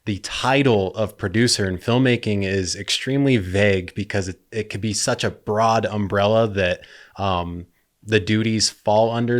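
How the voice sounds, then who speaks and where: Low-pitched man hosting a podcast interview.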